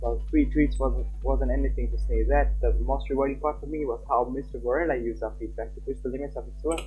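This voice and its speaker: A man streaming a visual novel, reading its text aloud in a casual, halting way with his own asides. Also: medium pitch, fairly even intonation, narrow, tinny audio, slight background noise. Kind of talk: live gameplay narration with comments. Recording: faint game music behind.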